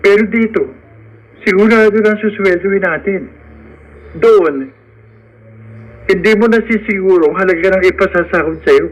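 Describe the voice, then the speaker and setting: A man's voice: high-pitched, moderate pace, pauses often, rough recording. A man playing a loyal, cautious young working man in a radio drama, reasoning in a concerned manner. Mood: worried, reasoning.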